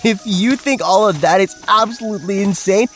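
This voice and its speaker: An actor playing a brash, crude young ghost-hunting video host in an audio drama, with cocky, slangy patter. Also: male voice, sits high, moderate pace, over music. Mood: hyped, showy.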